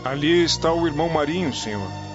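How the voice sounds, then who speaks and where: A man's voice: a little high, expressive, brisk. An actor playing an obliging, respectful servant to a Roman nobleman in a radio play. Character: deferential male servant attending a Roman nobleman. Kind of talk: dramatised radio play acting. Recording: dull lo-fi audio under loud music.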